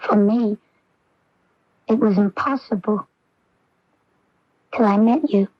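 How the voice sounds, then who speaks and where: A woman's voice: mid-range voice, takes it slowly, no room sound. A woman playing an earnest, lovelorn young woman in a 1980s radio drama, intense, vulnerable and pleading. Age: young adult. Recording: dull lo-fi 1980s AM radio recording.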